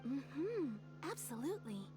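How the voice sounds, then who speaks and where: A woman's voice: sits high, sing-song, measured pace. A woman playing a polite, businesslike kimono tailor in a video game. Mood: pleased. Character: courteous, businesslike female kimono tailor shopkeeper. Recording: music behind the voice.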